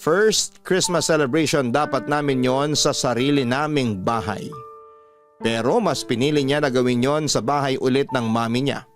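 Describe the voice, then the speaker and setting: A man's voice: medium pitch, moderate pace. A man with a Filipino accent narrating a scripted personal story as a radio-style host. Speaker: host reading out a listener's story. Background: music.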